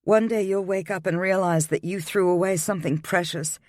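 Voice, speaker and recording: A man's voice: sits high, steady tone, normal speed, no room sound, studio quality, pitched above the performer's own voice, close to an everyday voice. A man voicing a heartbroken young pregnant woman who pleads through tears and then turns proud. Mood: resolved. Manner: tearful, pleading, then quietly resolved.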